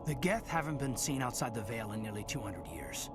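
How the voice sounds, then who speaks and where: A man's voice: medium pitch, big pitch swings, brisk, natural voice. A man playing an earnest, dutiful marine officer with a concerned manner in a science fiction video game. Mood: troubled. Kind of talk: video game cutscene acting. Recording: loud music under the voice.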